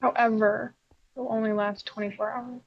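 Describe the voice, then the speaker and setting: A woman's voice: on the high side, level tone, normal speed, dry recording. A slightly muffled recording of a woman playing a weary female puppet in a game roleplay. She is dry, terse and stubborn, and sounds thoroughly unimpressed. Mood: reluctant, stern.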